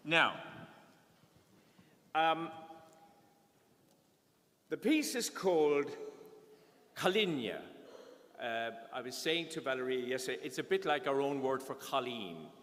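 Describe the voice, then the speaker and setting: An older man presenting a concert, speaking in a measured, explanatory way from a lectern. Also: mid-range voice, lively intonation, fairly bright tone. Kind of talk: stage announcing. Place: large concert hall on stage. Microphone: lectern microphone. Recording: long hall reverb.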